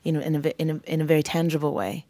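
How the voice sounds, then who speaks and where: A woman interviewer with a notably low pitch and a soft, mellow tone. She builds her questions in long, winding sentences, restarting often and filling gaps with you knows. It sounds like a relaxed, candid sit-down talk. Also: very fast. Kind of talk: candid conversation.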